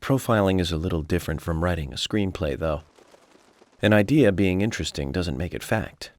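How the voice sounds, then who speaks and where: An actor playing a self-assured, coolly analytical male federal investigator in a video game, measured and matter-of-fact as he lectures. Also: low-pitched, dry recording, natural voice. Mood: explanatory. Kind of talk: video game cutscene acting.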